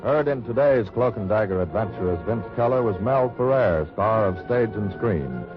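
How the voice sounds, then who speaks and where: A man's voice: lowish voice, expressive, normal speed. A man reading credits as a formal announcer in 1950s radio style. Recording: muffled old radio recording, music behind.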